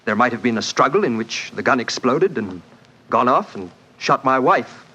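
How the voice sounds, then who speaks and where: An actor playing a proud, blunt, touchy wealthy man in a 1940s radio drama, speaking in forceful, clipped phrases. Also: mid-range voice, animated, moderate pace, breathy edge. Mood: grave, explanatory. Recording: muffled 1940s radio recording.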